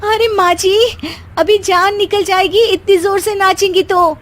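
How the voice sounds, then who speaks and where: A woman's voice: very high voice, fairly even intonation, unhurried, voice pushed up. A woman playing an exasperated young daughter-in-law, politely scolding and wry, in a comic family story. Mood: alarmed. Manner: pleading, wry.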